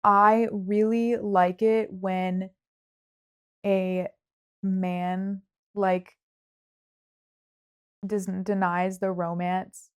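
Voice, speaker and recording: A woman in a relaxed, informal book conversation. Her pitch sits in the middle of the range. She hedges her opinions and peppers her sentences with "like," as a podcast host talking to a friend would. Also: very slow, long pauses, smooth. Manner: informal, hedging, frequent "like". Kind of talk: casual book chat.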